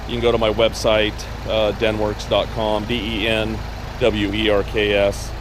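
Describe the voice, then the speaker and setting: Slightly raspy middle-aged man, casual car seller on a handheld camera, outdoor noise around him. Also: on the low side, completely flat delivery, moderate pace. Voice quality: rambling, filler-heavy delivery with many restarts. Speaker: car dealer showing a car for sale. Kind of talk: casual walkaround video. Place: outdoors on a gravel driveway. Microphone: camera held while walking. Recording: outdoor recording with clear background noise.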